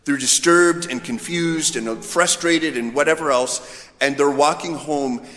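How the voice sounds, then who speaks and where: A man's voice: fairly high pitch, measured pace, a bit bright. Male priest, conversational homilist, storyteller's delivery, long church reverb around the voice. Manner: storytelling, voicing imagined dialogue. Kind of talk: informal, conversational sermon. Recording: echoey hall with long reverb.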